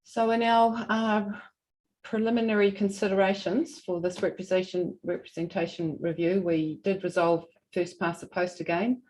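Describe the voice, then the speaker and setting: A woman with a New Zealand accent giving a semi-formal presentation to a hearing, speaking haltingly and often correcting herself. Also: medium pitch, fairly even intonation, a little mellow, low-quality recording. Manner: halting, hesitant delivery with frequent self-corrections. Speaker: regional council representative presenting.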